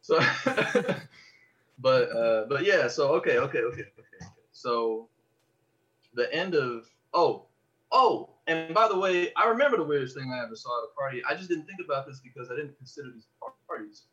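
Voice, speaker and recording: An American man chatting casually on a podcast, telling personal stories in an animated, rambling, slangy way and swearing freely. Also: mid-range voice, normal speed, dull audio. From Los Angeles area, United States. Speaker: podcast host.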